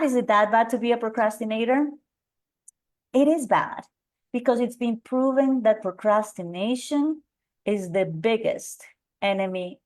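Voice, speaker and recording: A middle-aged woman from Colombia with a Colombian Spanish accent, presenting an energetic live webinar and calling out audience members by name. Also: on the high side. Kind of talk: live webinar coaching talk.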